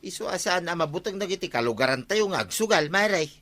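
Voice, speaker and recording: A man's voice: high voice, lively intonation, fast, bright, forceful tone. An actor playing a gossipy, wheedling village man, the captain's crony, in a radio comedy drama. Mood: disapproving, gossipy.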